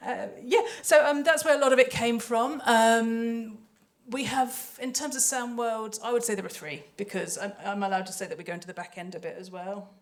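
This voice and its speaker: A woman's voice: on the high side, steady tone, normal speed. A woman speaking on a panel as a composer and sound designer, chatty, hesitant and often interrupting herself. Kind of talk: panel talk about creative work.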